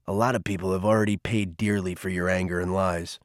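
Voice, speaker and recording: A man doing a low, stern older mentor. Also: steady tone, a little fast, no echo, clean studio sound, close to an everyday voice. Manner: low, quiet and firm. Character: gruff older male mentor, stern but teasing.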